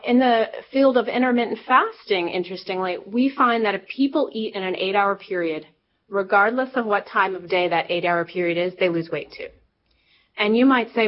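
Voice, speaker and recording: Enthusiastic woman expert, mid pitch, muffled lo-fi sound. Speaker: researcher guest. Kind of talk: radio interview answer. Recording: dull lo-fi broadcast sound.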